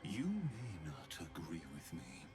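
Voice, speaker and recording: A man's voice: a bass voice, huge pitch swings, takes it slowly, full character voice. A man playing an ancient, theatrical, sinister schemer in a video game, with whispery, grandiose delivery. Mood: solemn, scheming. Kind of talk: video game dramatic voice acting. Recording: music behind the voice.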